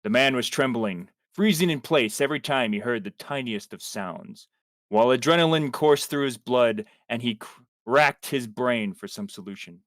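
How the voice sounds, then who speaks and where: A man's voice: mid-range voice, expressive, normal speed, fairly bright tone, dry recording, clean studio sound. A man reading a piece of fan fiction aloud to friends on a livestream, theatrically, now and then stumbling over words.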